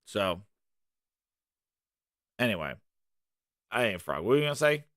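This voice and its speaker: A man hosting a casual gaming livestream, hoarse and rambling, launching into animated rants. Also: fairly low pitch, a little slow, dry recording, studio quality. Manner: animated ranting, loose and rambling. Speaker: gaming podcast host.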